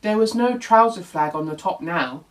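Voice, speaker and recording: A man reading a children's bedtime story as an expressive narrator. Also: high-pitched, moderate pace. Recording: voice distant from the mic.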